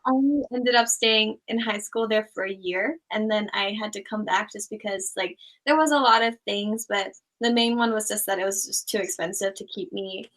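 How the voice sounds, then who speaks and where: A woman's voice: mid-range voice, normal speed, few pauses, poor audio. A young woman who grew up in Thailand, chatting casually as an interview guest, with a rambling manner full of "like" and "um". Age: young adult. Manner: relaxed, rambling, many "like" and "um" fillers. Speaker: guest, small YouTuber.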